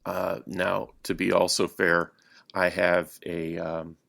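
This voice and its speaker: A man with a low, gravelly voice talks as a guest on a casual podcast. His unscripted speech is halting, with frequent pauses in the middle of sentences. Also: flat delivery, fairly bright tone. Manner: halting, pausing often mid-sentence. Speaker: podcast guest, radio hobbyist.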